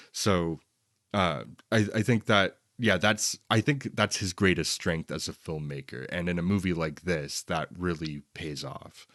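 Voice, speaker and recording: Low-voiced man chatting casually about film. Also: dry recording, studio quality. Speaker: film podcast co-host.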